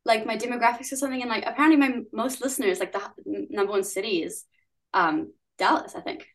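A woman's voice: fairly high pitch, quick pace, fairly bright tone, poor audio. A young woman from New Zealand, a musician guest chatting casually in an interview, full of "like" fillers and giggles.

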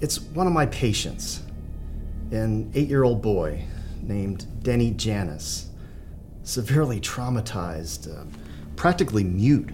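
Low-voiced, earnest psychiatrist. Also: male voice, very animated, a little mellow, faint music behind. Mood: hesitant, troubled. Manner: measured, halting, polite. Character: earnest, hesitant male psychiatrist, troubled but professional. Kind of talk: audio drama acting.

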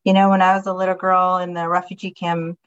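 Earnest woman from Somalia, flat delivery. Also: lowish voice, clean tone, dull audio. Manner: earnest, halting, many ums and uhs. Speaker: guest, county commissioner. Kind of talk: interview storytelling.

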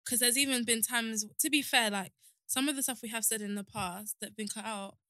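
A young woman with a bright voice, pitched slightly high, co-hosts a relaxed podcast chat. She talks hesitantly and stumbles over words now and then. The sound is close and dry. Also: a little fast, no echo. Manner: hesitant, stutters at times.

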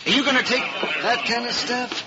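A man's voice: very high voice, steady tone, fairly quick. An actor playing an intense, goading baseball man in an old-time radio drama. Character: hard-driving, pleading male ballplayer named Mac. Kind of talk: 1950s radio drama acting. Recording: very noisy, muffled old radio recording.

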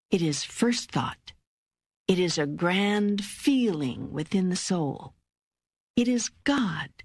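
Unhurried, slightly husky woman as serene God. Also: on the low side, no room sound, heavily acted. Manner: measured, deliberate, emphatic pauses. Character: God, a serene, patient, all-knowing teacher. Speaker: audiobook narrator. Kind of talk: scripted audiobook reading of spiritual dialogue.

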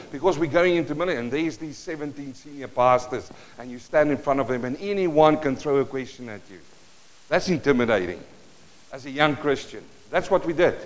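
A man from South Africa with an Afrikaans accent, preaching a sermon to a congregation and telling a personal story with animated bursts. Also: mid-range voice, rough recording. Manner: storytelling, animated bursts, moves around the stage. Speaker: pastor. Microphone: worn or handheld mic that cuts in and out while he moves.

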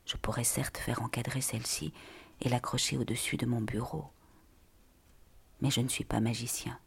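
A person reading scripted literary prose in a breathy, half-whispered voice with measured, deliberate phrasing. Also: mid-range voice, fairly even intonation.

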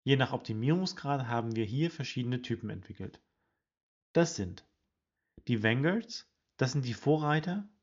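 A man hosting a weekly HR news podcast, reading a scripted report in a brisk, informative way and addressing listeners directly. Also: medium pitch, lively intonation, lo-fi sound. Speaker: podcast host presenting HR study results.

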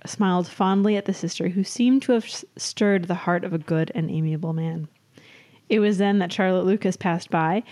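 A woman's voice: mid-range voice, warm tone. Giggly woman reading her story aloud. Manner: giggly, breaks into laughter while reading. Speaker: podcast co-host reading their own story. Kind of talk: reading own comic period story aloud with casual chat.

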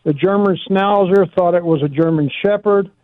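A male caller on a radio show, talking haltingly with many pauses and fillers. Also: sits high, no echo. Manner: halting, many fillers, loses his words. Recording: tinny phone line.

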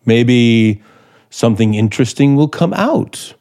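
An actor playing a brooding, manipulative scriptwriter in 1950s radio suspense style, probing deliberately with dramatic pauses. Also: male voice, medium pitch, lively intonation, mellow. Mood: probing, wry. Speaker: actor in the lead role. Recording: 1950s radio broadcast, music behind.

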